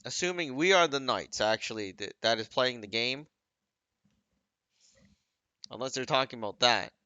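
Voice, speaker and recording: A man with a bright, forward voice talks casually over a video game. He rambles and keeps second-guessing how to word things as he goes. The recording is very dry and a little dull, like a simple home setup. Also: medium pitch, normal speed, clean tone, no echo. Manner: rambling, second-guesses his own wording. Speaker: gaming video creator. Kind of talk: casual off-the-cuff game commentary.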